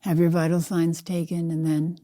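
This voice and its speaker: An older woman guides a meditation in a low-pitched voice with a very soft, dark tone. She speaks deliberately, in short phrases, and leaves room between them as a teacher would. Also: completely flat delivery. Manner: measured, deliberate, spoken in short phrases with pauses. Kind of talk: slow guided meditation narration.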